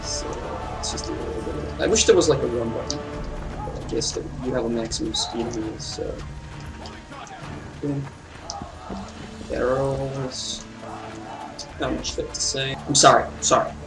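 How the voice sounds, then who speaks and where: A man's voice: mid-range voice, steady tone, moderate pace, vocal fry, pauses often, recorded from a distance, low-quality recording. Man rambling casually over game music. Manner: rambling, offhand. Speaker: let's play gamer.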